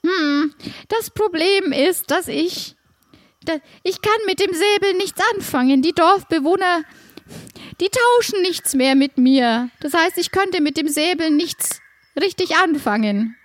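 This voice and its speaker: Very high-voiced, polite farm-shop woman, improvised by a player. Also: expressive, measured pace, no echo. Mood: aggrieved. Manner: polite, hesitant, wordy. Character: friendly, aggrieved woman farm-shop keeper. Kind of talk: improvised audio play.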